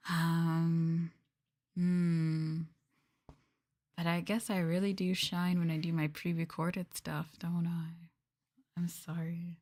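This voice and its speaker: Low-pitched woman streamer, playful and hesitant. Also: level tone, slow, pauses often, a little mellow. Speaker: VTuber streamer. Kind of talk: casual ASMR livestream chat.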